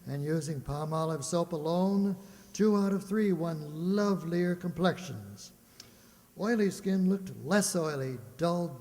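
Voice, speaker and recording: A man's voice: a little high, a little mellow. A man playing an emphatic, salesmanlike announcer reading a 1940s-style radio commercial. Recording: hall-like, long reverb.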